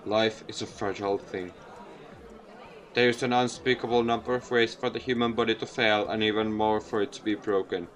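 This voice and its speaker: A man voicing a placid scientist with quiet power, speaking in a calm, measured, solemn manner. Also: on the low side, pitched below the performer's own voice, lightly acted.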